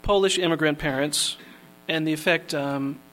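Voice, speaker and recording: Guy introducing readers, kinda high voice, lots of ums and restarts. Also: fairly bright tone, rough recording. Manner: halting, lots of fillers. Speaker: host of a reading series.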